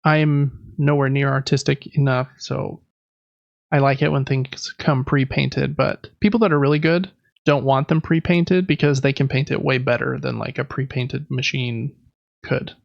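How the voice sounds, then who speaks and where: A man's voice: mid-range voice, little pitch movement, normal speed, vocal fry, no room sound, muffled recording. A man hosting a podcast, talking casually and explaining things in an informal way. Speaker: podcast host. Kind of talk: casual podcast talk about board games.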